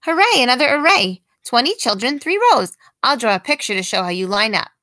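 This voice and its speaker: Woman reading as an excitable fussy schoolteacher, bright and high. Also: brisk, no echo, clean studio sound. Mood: excited, firm. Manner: exclamatory, emphatic, spelling things out.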